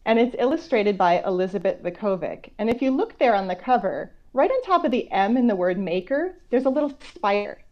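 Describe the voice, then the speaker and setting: A woman author reading a picture book aloud to children, going between slow measured reading and conversational explanatory asides. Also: medium pitch, animated. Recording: dull, lo-fi online call audio.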